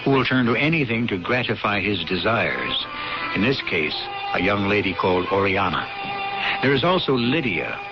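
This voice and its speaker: Low-voiced, portentous radio drama host. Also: male voice, sing-song. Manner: portentous, deliberate, rhetorical. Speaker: host and narrator. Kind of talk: dramatic scripted radio narration. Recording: muffled radio broadcast with music.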